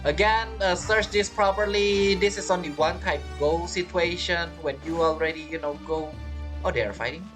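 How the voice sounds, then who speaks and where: A woman's voice: lowish voice, normal speed, over music. A woman giving casual, rambling game commentary, talking half to herself and half to viewers. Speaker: gameplay streamer.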